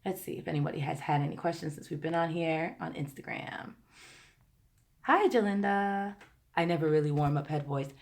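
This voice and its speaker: Hoarse low-voiced woman vocal coach. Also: normal speed. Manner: chatty, direct, addresses viewers as y'all. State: hoarse, tired voice. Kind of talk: live-stream vocal lesson and Q&A. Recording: roomy echo.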